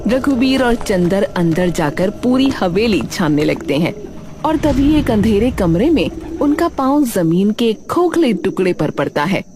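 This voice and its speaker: A woman's voice: mid-range voice, big pitch swings, a little slow. A woman narrating a scripted story in Hindi storytelling fashion. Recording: loud background music. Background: music.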